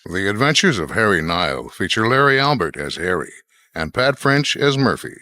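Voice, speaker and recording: A man's voice: fairly low pitch, sing-song, moderate pace, bright, forceful tone, no room sound. A man reading scripted narration and credits as the announcer of a radio mystery.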